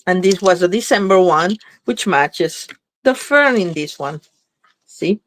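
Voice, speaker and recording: A woman's voice: lowish voice, expressive, a little slow, a bit bright, dry recording, low-quality recording. A slightly congested woman chatting casually as she demonstrates card making, thinking aloud while she works. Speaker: craft demonstrator. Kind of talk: live crafting demo chat.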